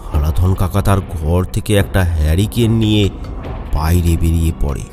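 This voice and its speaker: A man's voice: deep voice, normal speed. A man narrating a scripted horror story in a suspenseful, dramatic manner, with music behind him.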